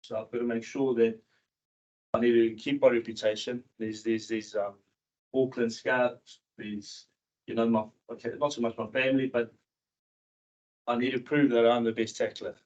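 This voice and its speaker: A man from South Africa who moved to New Zealand in his teens, giving a candid, informal personal talk to an audience in a halting, repetitive way. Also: mid-range voice, level tone, a little mellow. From South Africa, moved to New Zealand in his early teens. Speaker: seminar speaker, cricket coach and former professional cricketer. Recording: dull, muffled seminar recording.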